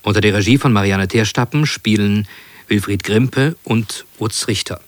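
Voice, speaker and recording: A man working as a radio announcer, giving a scripted presentation in formal, measured phrases. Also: on the low side, no echo. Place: dry radio studio.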